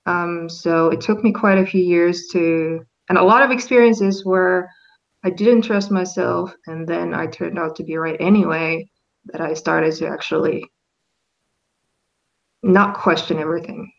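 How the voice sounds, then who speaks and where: A woman's voice: lowish voice, unhurried, gravelly, muffled recording. A woman from Finland, a guest in a casual conversation, talking thoughtfully with frequent hesitations and restarts. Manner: hesitant, restarts often, reflective. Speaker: interview guest.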